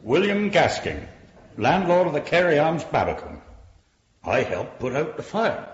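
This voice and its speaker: A man's voice: a little high, huge pitch swings, measured pace. An actor playing a plain-spoken male village pub landlord as a witness in a radio drama. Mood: straightforward. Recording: roomy, slightly muffled radio drama.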